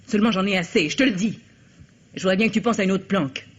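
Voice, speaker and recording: A woman's voice: mid-range voice, fast, breathy edge, natural voice. An actress playing a weary, worldly woman who keeps a bistro and is mixed up in crime, slangy and complaining, in an old French radio drama. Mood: resentful. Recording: dull, noisy old radio recording.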